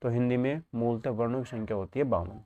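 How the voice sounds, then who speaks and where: A man's voice: mid-range voice, quick pace, mellow, dull audio. A man teaching an exam lesson, posing questions and answering them himself, often checking that students follow.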